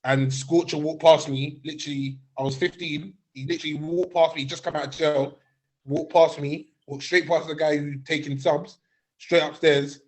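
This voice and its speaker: Young host, chatty storyteller, slightly raised pitch, quick restarts. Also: male voice, low-quality recording. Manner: animated anecdote, many restarts. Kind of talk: casual chat, storytelling.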